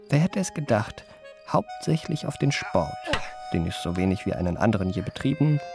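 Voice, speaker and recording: Urbane, gravelly-edged gentleman impostor. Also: medium pitch. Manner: ornate, pompous, self-admiring. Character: urbane, self-satisfied gentleman impostor recounting his memoirs. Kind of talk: radio play first-person narration.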